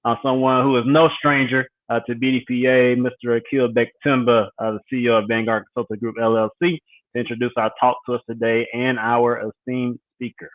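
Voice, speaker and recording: A man hosting a live online talk, upbeat and promotional in a loose, casual way. His voice comes through a thin, phone-like line. He sits at a middle pitch. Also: little pitch movement, a little fast. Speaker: host.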